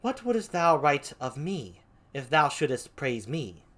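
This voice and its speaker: A performer reading a gentle, spirited young noblewoman with drawn-out, theatrical Shakespearean delivery. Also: medium pitch, big pitch swings, full character voice. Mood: playful. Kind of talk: amateur Shakespeare read-aloud.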